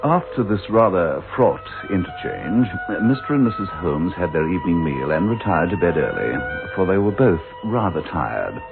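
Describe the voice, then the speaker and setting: Measured storyteller, very low voice, radio drama narration, phone-like sound over music. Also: male voice, big pitch swings, gravelly, heavily acted. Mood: measured, wry. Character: measured, wry storyteller of a ghost tale. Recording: phone-like old radio broadcast.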